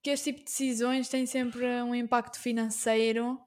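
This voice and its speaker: Woman, slightly high pitch, European Portuguese accent, podcast co-host, casual conversation. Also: flat delivery, moderate pace.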